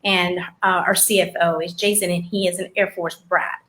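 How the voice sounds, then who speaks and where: A woman's voice: fairly low pitch, little pitch movement, moderate pace, a bit bright. A woman hosting a casual live show, chatty and rambling, often laughing as she talks. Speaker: host.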